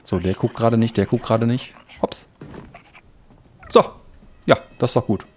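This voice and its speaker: A man's voice: mid-range voice, fairly even intonation, normal speed, breathy edge. A man giving casual gameplay commentary, thinking aloud in an offhand, colloquial way. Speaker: let's play host.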